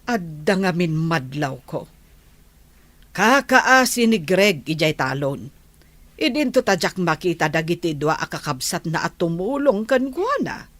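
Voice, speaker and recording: A woman's voice: on the low side, big pitch swings, measured pace, no echo. A woman playing a nosy, probing village neighbor with an Ilocano accent in a radio comedy drama.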